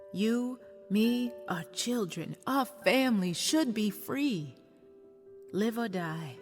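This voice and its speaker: A woman's voice: mid-range voice, darkish tone. A woman playing a frightened but devoted enslaved wife and mother of the 1860s in an earnest, tender historical drama. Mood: solemn, resolved. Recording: long reverberant hall, music underneath. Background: music.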